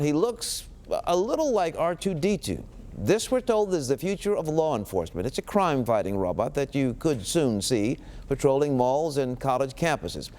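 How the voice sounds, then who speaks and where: A man's voice: medium pitch, darkish tone. A man with an American accent reading local television news as an anchor.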